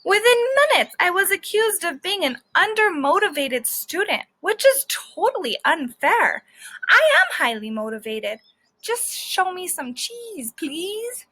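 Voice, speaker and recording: A woman's voice: very high voice, very animated, measured pace, bright, forceful tone, pitched above the performer's own voice, exaggerated voice, rough recording. A woman voicing a cocky, stubborn stray dog who tells his story in sassy, colloquial children's storybook style. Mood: indignant. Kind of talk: children's book read-aloud.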